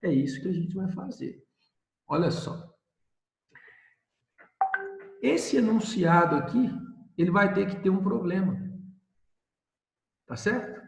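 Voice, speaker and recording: A man's voice: high voice, lively intonation, slow, vocal fry, pauses often, reverberant, rough recording. A male math teacher giving a recorded video lesson, explaining step by step and asking questions he answers himself.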